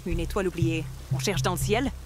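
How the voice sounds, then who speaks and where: A woman voicing a kind, adventurous assistant from a video game in an animated comedy, earnest, soothing and a little hesitant. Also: fairly low pitch, a little fast, music in the background, close to an everyday voice. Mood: eager. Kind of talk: French animated comedy acting.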